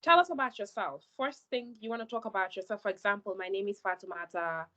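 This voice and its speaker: A young woman with a Gambian accent coaches scholarship applicants in an earnest, direct way, often repeating words to drive a point home. Her voice sits at an ordinary middle pitch. The recording sounds dull and lo-fi. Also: fast talker, pushed and bright. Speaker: scholarship mentor. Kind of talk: advice talk to applicants.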